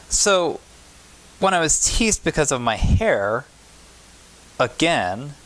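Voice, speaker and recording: A man reading a children's picture book aloud as a pastor at storytime, in measured short phrases with pauses. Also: fairly high pitch, a bit bright, poor audio.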